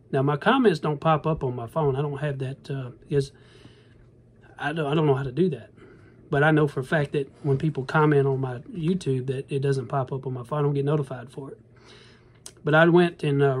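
Man in his thirties, slightly high voice, rambling folksy phone-camera vlogger. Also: a little mellow. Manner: rambling, earnest, folksy, addresses viewers as y'all. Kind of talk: casual talk to camera. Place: indoor bedroom. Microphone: phone camera at arm's length.

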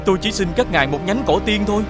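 A man playing a gentle, polite young hero in a cartoon fairy tale, earnest, courteous and pleading. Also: high-pitched, lively intonation, racing, over music.

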